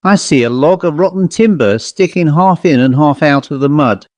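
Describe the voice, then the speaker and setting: A man's voice: on the high side, lively intonation, moderate pace, darkish tone, dry recording, natural voice. A man voicing a jovial, confident country squire in a Victorian ghost story, with hearty, exclamatory delivery. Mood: hearty, curious. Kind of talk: audiobook character reading.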